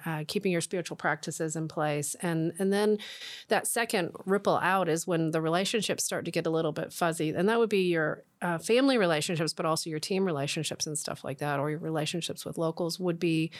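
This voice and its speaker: A woman with a fairly low-pitched voice gives an interview on a podcast. She talks through her member care work in an earnest, explanatory manner. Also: steady tone, a little fast. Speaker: member care worker and researcher.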